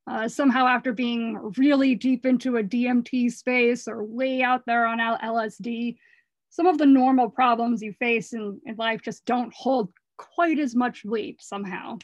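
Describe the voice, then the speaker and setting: A woman artist speaks over her slides in a slightly high, hoarse voice. She is earnest and rambling, restarting her phrases often. The sound is dry and close, with no room echo. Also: steady tone, normal speed, muffled recording. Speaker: artist presenting her work. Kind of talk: casual talk over slides.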